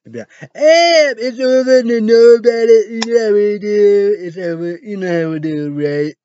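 A man's voice: high-pitched, a little hiss or hum. Man rambling into a dull, lo-fi mic. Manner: halting, spells words out, repeats phrases, bursts into loud exclamations. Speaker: online show host. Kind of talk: rambling solo vlog reading weather and news headlines. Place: small dim room indoors. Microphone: webcam or laptop mic at arm's length. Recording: dull lo-fi webcam audio.

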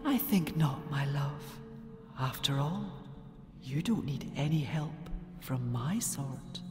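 An actress playing a seductive, sly, softly menacing female forest spirit in an audio drama, with a breathy whisper. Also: a bass voice, expressive, slow, heavily acted. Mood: seductive, taunting. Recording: long echoing hall reverb. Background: music.

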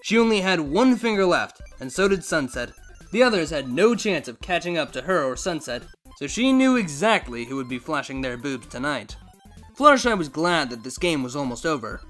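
Lively-pitched man narrating fan fiction. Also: normal speed, soft background music, rough recording. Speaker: story narrator and channel host.

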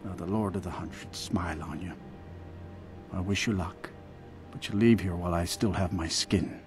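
Brooding, low-voiced werewolf man. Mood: grateful, earnest. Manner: brooding, confessional, halting. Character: remorseful imprisoned werewolf in human form, feral hunger beneath. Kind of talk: video game character dialogue.

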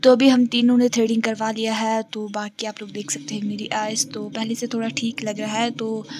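A young woman from India vlogging on a handheld camera, talking casually to her viewers as friends. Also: fairly high pitch. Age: young adult. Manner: chatty, addresses viewers as friends. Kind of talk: casual travel vlog narration. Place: outdoors, cafes, shops and a bus.

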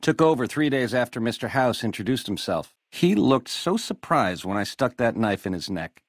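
An actor playing a slick, cocky American casino gangster boss in a video game, swaggering through retro lounge slang. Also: male voice, medium pitch, very animated, moderate pace, no echo, clean studio sound. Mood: boastful, reminiscing. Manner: swaggering retro lounge-lizard slang, calls people baby. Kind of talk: video game character dialogue.

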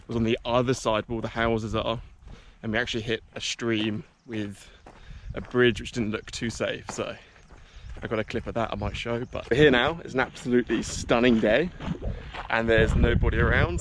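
A man's voice: mid-range voice, slight background noise. A man in his thirties with an Australian accent, vlogging casually outdoors on a camera held at arm's length, chatty and upbeat with joking asides. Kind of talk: casual hiking vlog. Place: outdoors on a bush trail and beach. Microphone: action camera held at arm's length.

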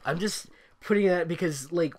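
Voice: medium pitch, normal speed, a little mellow. A host reading fan fiction aloud in a giggly, joking, mock-enthusiastic way, with comic commentary.

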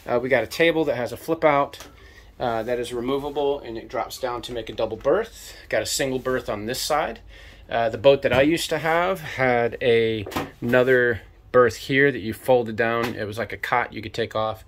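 A man in his fifties hosting a casual walkthrough tour of a sailboat, talking in a relaxed, enthusiastic way with lots of fillers, recorded on a handheld camera inside a small cabin. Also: medium pitch, moderate pace, slight background noise. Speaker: boat tour host. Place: small boat cabin, indoors. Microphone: handheld camera mic at arm's length.

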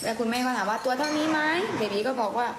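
A woman in a mother's role, telling simple stories to a small child in a casual, coaxing way through gentle questions. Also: fairly high pitch, rapid-fire. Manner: coaxing, question-led, with polite particles. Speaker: mother prompting her young child. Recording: echoey room with clear background noise.

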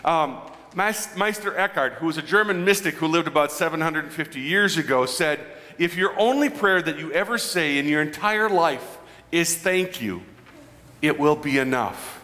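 A man in his forties preaching a conversational sermon as a pastor, animated and joking with his congregation. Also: a little high, normal speed. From Midwestern United States. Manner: animated, gestures broadly, jokes with the congregation. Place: large church sanctuary. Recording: long church reverb.

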